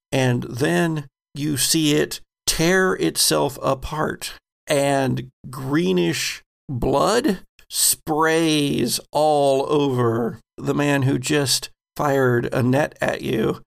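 An American man acting as game master, narrating a horror tabletop game in a suspenseful, deliberate way. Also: medium pitch, steady tone, gravelly.

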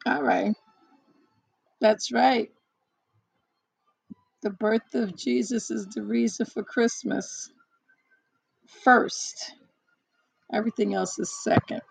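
A hoarse woman in casual one-on-one chat, talking haltingly with many fillers and restarts. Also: fairly high pitch, fairly even intonation, no echo, rough recording. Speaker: chat partner.

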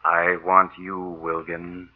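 An actor playing a cold, vengeful walking dead man in an old radio horror play, speaking in an ominous, deliberate, repetitive way. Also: deep voice, monotone. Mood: menacing. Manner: slow, ominous, deliberate, repeating himself. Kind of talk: 1940s radio horror acting. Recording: muffled old 1940s radio recording.

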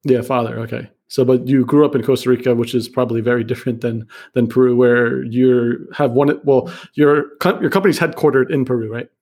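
A man hosting an interview podcast, reading a measured intro and then asking questions in a friendly, chatty way. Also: mid-range voice, steady tone, a little mellow. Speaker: podcast host. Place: Kuala Lumpur.

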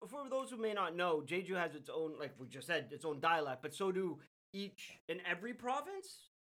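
A man's voice: high-pitched, very animated, normal speed, pushed and bright. A man co-hosting a casual podcast with his wife, joking and laughing often as he leads the chat. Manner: jokey, laughs often, playful teasing. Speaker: podcast co-host.

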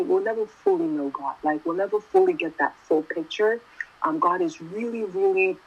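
A woman's voice: fairly low pitch, husky, highs cut off. A woman in her twenties giving a casual sermon, talking conversationally and often asking "right?". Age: 20s. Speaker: youth preacher.

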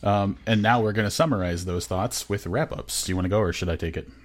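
Low-voiced man chatting about books. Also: fast. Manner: hesitant, filler-heavy, enthusiastic. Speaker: podcast co-host. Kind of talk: casual podcast chat.

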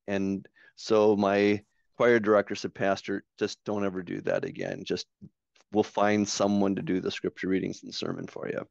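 A man's voice: fairly low pitch, flat delivery, moderate pace, gravelly, no room sound. A man who is a pastor and author, hosting a podcast and leading the conversation with stories and questions. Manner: storytelling, leads the talk, asks questions. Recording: slightly dull, lo-fi mic.

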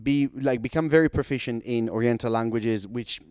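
Italian guy, young adult, easygoing talker, smooth dry voice, podcast guest. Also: mid-range voice, a little fast, no echo. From Italy. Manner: relaxed storytelling, frequent "like" fillers, laughs easily. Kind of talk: casual chat.

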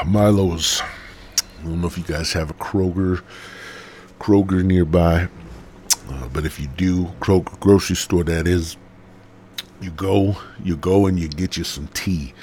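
Raspy, congested man with a deep voice. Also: steady tone, measured pace, pauses often, slight background noise. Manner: profane, rambling, jokey. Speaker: podcast host. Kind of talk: rambling casual monologue.

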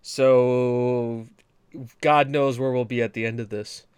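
A man's voice: medium pitch, steady tone, slow pace, darkish tone, clean tone, no room sound. Rambling, joking podcast guy. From New England. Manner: rambling, rant-prone, joking. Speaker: podcast co-host. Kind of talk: casual chat.

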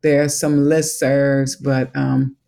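A man's voice: mid-range voice, level tone, takes it slowly, vocal fry, dark tone. A man from Chicago with a Chicago accent carrying a Southern twang, a museum education director speaking as an interview guest, thoughtful and enthusiastic, laughing easily. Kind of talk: conversational interview.

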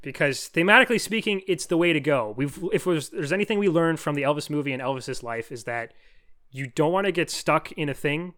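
A man's voice: fairly high pitch, brisk, a bit bright. A man co-hosting a podcast in casual chat, laid-back and thoughtful with dry humor. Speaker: podcast co-host and editor.

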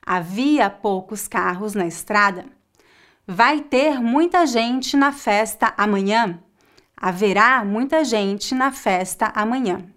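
A woman with a Brazilian accent teaching a Portuguese lesson in a slow, clear, scripted way. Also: fairly high pitch, fairly bright tone. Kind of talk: scripted online language lesson.

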